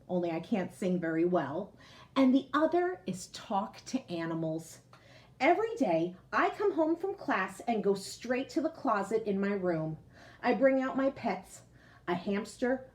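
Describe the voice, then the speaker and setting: A woman speaking as a teacher in a read-aloud lesson for young children, explaining things simply and often checking that they follow. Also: medium pitch. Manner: explains simply, with frequent checking "okay?" and recaps. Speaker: teacher reading a picture book aloud to children.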